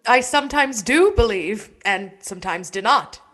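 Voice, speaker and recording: Woman playing a high-voiced, lovesick young gentleman. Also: lively intonation, moderate pace. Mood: wavering. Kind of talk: Shakespearean radio play acting.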